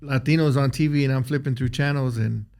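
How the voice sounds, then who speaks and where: A man's voice: mid-range voice, fairly even intonation, brisk, gravelly, darkish tone. A man hosting a casual interview, asking rambling questions full of fillers. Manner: rambling, lots of "you know" fillers. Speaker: interviewer host.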